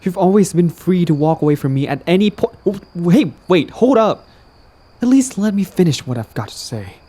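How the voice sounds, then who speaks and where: A man's voice: high-pitched, very animated, normal speed, warm tone, breathy edge, heavily acted. A man playing a lovestruck, persistent villain in an intimate romantic audio roleplay, talking casually and coaxingly to the listener, smug yet earnest. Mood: flustered, pleading.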